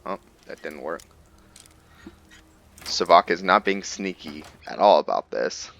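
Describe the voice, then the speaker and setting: A man giving casual commentary on a roleplay video game, halting as he thinks aloud and explains the lore. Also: deep voice, animated, gravelly, fairly bright tone. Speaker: gamer narrating a roleplay playthrough.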